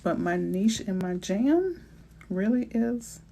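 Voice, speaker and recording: Middle-aged woman, New Orleans accent, chatty live-stream host, relaxed slang, faint background hiss, casual phone mic. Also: mid-range voice, takes it slowly, gravelly. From New Orleans, Louisiana. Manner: chatty and playful, with slang and asides. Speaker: realtor hosting a live Q&A. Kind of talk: casual live-stream chat answering viewers.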